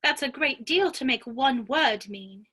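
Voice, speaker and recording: A woman's voice: high-pitched, expressive, a little slow, bright, forceful tone, slightly rough, exaggerated voice. A woman playing a polite, earnest, curious little girl in a dramatic reading of a children's classic. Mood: polite, puzzled.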